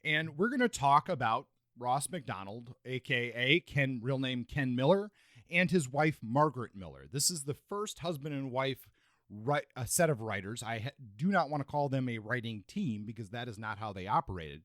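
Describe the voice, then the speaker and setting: A man's voice: medium pitch, animated, moderate pace, a bit bright, no room sound, studio quality. A man co-hosting a podcast, reviewing and discussing books. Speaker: podcast co-host.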